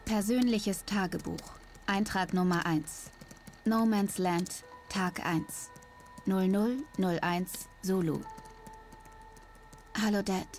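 An actress playing an earnest vigilante woman in an audio drama, speaking a grave, deliberate confession into her diary. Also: medium pitch, steady tone, a little mellow. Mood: formal, sober. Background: music.

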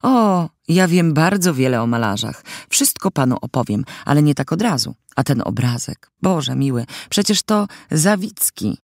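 Impish sixteen-year-old girl played by a male reader, talkative and theatrical, pitched up and very animated, for an audiobook. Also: normal speed, creaky, hardly any pauses, no echo, studio quality. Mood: eager. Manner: lively, emphatic, theatrical. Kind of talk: audiobook character dialogue.

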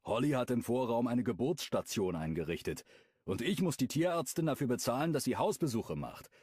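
A man's voice: medium pitch, sing-song, brisk, a little mellow, breathy edge, no echo, studio quality, pitched above the performer's own voice. A man voicing an exasperated young man who grumbles about his unruly dog.

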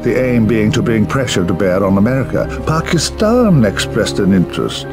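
An actor playing a weary, urbane old spymaster in a video game, musing theatrically and cryptically in drawn-out phrases. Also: male voice, fairly low pitch, big pitch swings, over music. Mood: matter-of-fact. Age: older. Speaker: mysterious spymaster. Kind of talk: video game cutscene dialogue and narration.

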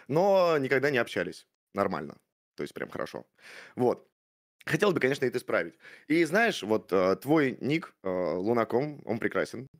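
Animated man in his thirties, halting and gallant. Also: medium pitch. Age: 30s. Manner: hesitant, full of fillers, mock-gallant. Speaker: show contestant flirting with a guest. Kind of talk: playful mock-romantic chat on a stream. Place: streaming room with purple lights. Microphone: studio mic on arm, headphones.

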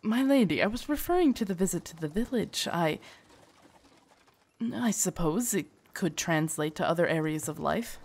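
A devoted lady knight of a romantic audio drama, acted by a woman, with a slightly low voice. She is earnest and tender, choosing courtly, formal words. There is a shy hesitance in her, that of a guard hopelessly in love. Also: animated, moderate pace, a little mellow. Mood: troubled, protective. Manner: formal, courtly, hesitant and stammering when flustered. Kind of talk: roleplay audio drama, intimate scripted monologue.

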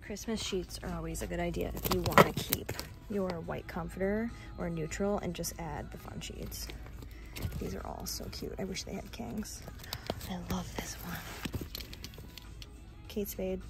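A woman's voice: fairly low pitch, a little slow, vocal fry. A young American woman giving chatty, appreciative shop-with-me commentary in a casual vlog style. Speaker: lifestyle vlogger. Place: inside a retail store. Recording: music over handheld store recording. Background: music.

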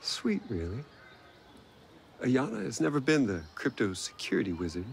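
An actor playing a worried tech genius in a video game, earnest and conversational. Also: male voice, medium pitch, very animated, normal speed. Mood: worried, regretful. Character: worried blond tech genius, male civilian. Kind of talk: video game cutscene acting. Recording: clear ambient background noise.

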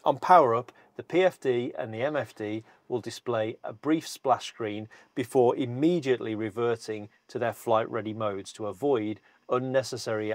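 Measured middle-aged man presenting. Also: medium pitch, darkish tone, dry recording. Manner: measured, precise, explanatory. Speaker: company presenter. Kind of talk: scripted product walkthrough. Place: seated in aircraft cockpit in a large hangar.

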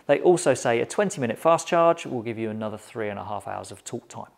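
A middle-aged British man presents a product review to camera in a measured, matter-of-fact way, recorded on a lapel mic in a small indoor studio. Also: mid-range voice, lively intonation, vocal fry.

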